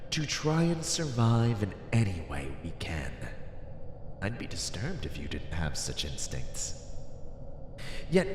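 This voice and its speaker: A man playing a teasing, domineering villain warlord in an ASMR roleplay, speaking deliberately with theatrical menace and condescension. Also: medium pitch. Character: male villain warlord ruler, teasing, domineering, coldly persuasive. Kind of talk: ASMR roleplay, dramatic monologue. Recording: echoey hall reverb, faint noise.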